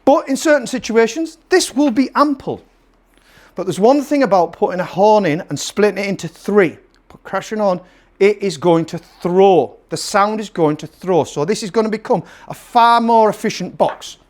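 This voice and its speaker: A man's voice: high-pitched, moderate pace, darkish tone. A man presenting a casual product review to camera, emphatic and salesman-like, repeating phrases to drive his point home. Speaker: presenter and seller of PA gear.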